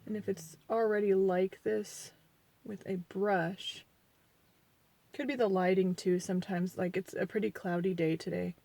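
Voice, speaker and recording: Sniffly 40-something woman rambling thru a makeup review, mid pitch, dry close sound. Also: fairly even intonation, measured pace, vocal fry, no room sound. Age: 40s. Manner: chatty, rambling, self-deprecating; quieter and mumbling while applying makeup. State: sniffly from allergies. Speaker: beauty vlogger.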